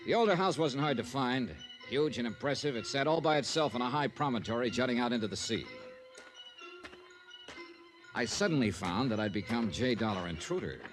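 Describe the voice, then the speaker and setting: Actor as a lively wry American radio detective. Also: male voice, mid-range voice, a little fast, natural voice. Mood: dry narrating. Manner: wry, laid-back, clipped. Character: wry, easygoing hard-boiled male insurance investigator. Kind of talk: old-time radio drama, first-person detective narration. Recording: old radio broadcast with music behind.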